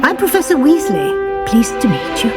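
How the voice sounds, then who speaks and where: A kindly British deputy headmistress in a fantasy game. Her voice is high and expressive, with formal, encouraging phrasing over loud music. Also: normal speed. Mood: welcoming, formal. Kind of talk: fantasy game character acting.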